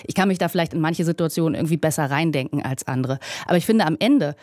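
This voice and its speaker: A woman journalist from eastern Germany speaks in a lowish, mellow voice. She builds an articulate, reasoned argument on a panel. The sound is dry and close. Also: quick pace, dry recording. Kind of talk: panel discussion.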